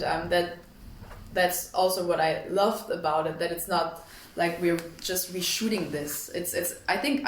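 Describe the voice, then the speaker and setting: A young woman with a German accent giving interview answers, thoughtful and searching for words. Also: on the low side. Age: young adult. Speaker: actress. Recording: echoey, distant mic, background noise.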